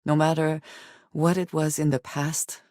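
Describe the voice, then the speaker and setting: Guarded space companion lady from a video game, earnest n formal, measured wording, super dry studio sound. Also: deep voice, flat delivery, darkish tone, dry recording. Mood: measured, reflective. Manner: formal, measured phrasing with few contractions. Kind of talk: video game character dialogue.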